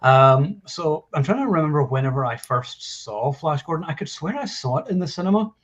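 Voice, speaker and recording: A man's voice: on the high side, animated, fairly quick. A man in his forties hosting a casual film discussion, talking in a rambling, enthusiastic way over lo-fi livestream audio. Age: 40s. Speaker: host of a live film discussion show.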